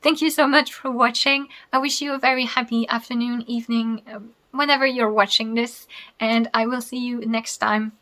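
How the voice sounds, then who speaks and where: A woman in her thirties with a French accent, her first language French, talks to camera in a loose, rambling way and laughs often. Her voice sits a little high for a woman. She sounds like a knitting podcast host chatting at home. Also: fairly even intonation, moderate pace, pushed and bright. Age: 30s. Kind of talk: casual chat to camera.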